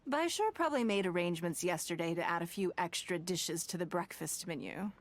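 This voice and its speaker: An actress playing a poised, courteous woman leader in a video game, speaking formally with measured, eloquent phrasing and dry wit. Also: lowish voice, lively intonation, lightly acted. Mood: gracious, relaxed. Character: poised, commanding, courteous wealthy woman leader, dryly teasing. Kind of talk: scripted video game dialogue. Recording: music under the voice.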